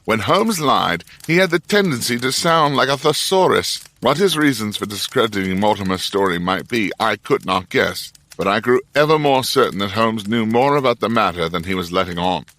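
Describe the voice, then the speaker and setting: An actor playing a thoughtful, self-doubting Victorian doctor, measured and dry in audio drama narration and dialogue. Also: male voice, on the low side, expressive, fairly bright tone. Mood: suspicious narration.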